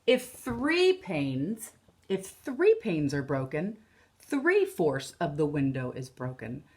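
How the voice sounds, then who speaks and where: A woman in her thirties, an elementary teacher, reading a picture book aloud as a lesson for children, explaining deliberately and repeating key phrases. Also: low-pitched, huge pitch swings. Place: living or dining room at home, indoors.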